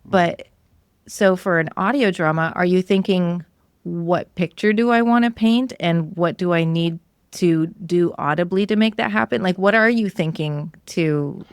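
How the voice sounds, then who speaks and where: A woman hosts a casual interview podcast. Her voice sits quite low with plenty of fry, and it is recorded close and dry. She talks in a conversational way. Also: unhurried, no echo. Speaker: podcast host interviewing a guest.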